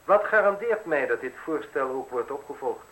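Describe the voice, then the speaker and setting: A man's voice: medium pitch, expressive, moderate pace, fairly bright tone, close to an everyday voice, poor audio. An actor playing a guarded, world-weary newspaperman in a radio drama, speaking in terse, wary questions. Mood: wary, sceptical. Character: guarded, world-weary male newspaperman under threat of prison.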